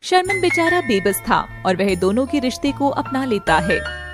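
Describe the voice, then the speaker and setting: A woman's voice: sits high, very animated, normal speed, over music. A woman with an Indian accent narrating a scripted story.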